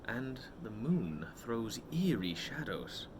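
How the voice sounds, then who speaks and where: A man voicing a pondering, fretful storybook homebody in a slow, thoughtful, musing way. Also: medium pitch, very animated, background noise. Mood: uneasy musing.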